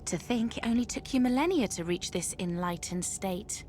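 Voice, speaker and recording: An actress playing a stern, bitter ancient sister in a video game, with a formal, scornful way of talking. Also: female voice, medium pitch, normal speed, a little mellow, slight background noise, lightly acted.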